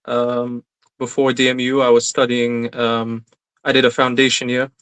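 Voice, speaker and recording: A man's voice: medium pitch, completely flat delivery, normal speed, fairly bright tone, clean tone. A young man from Iraq, a recent graduate, answering interview questions in a casual, hesitant way with many fillers. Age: young adult.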